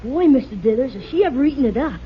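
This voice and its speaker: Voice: sits high, animated, quick pace. An actor playing an eager, cocky teenage boy in a 1950 radio family comedy, exclaiming his lines. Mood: cocky, showing off. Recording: dull noisy old radio with studio audience.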